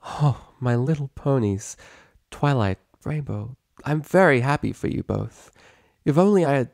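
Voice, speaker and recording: A man's voice: mid-range voice, lively intonation, a little slow, gravelly, a little mellow, no room sound, studio quality, voice pushed up. A man voicing a stern, regal female pony ruler and mentor in a story reading. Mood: relieved, tender. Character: stern regal mare princess, mentor ruler, angry then motherly.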